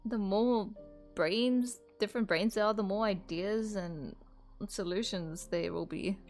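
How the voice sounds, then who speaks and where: A young woman streamer chats her way through a game, giggling often and saying "like" a lot. Her voice sits at an ordinary pitch, with game music faint in the background. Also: a little slow, darkish tone. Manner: chatty, giggly, full of "like". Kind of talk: casual let's-play commentary.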